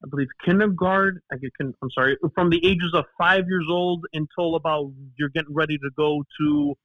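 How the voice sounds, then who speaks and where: A man chatting as a podcast guest, talking rapidly and full of likes and you-knows. His voice sits a touch high. The audio is muffled and dry with no room sound. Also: fairly even intonation, dry recording. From United States. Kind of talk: casual podcast conversation.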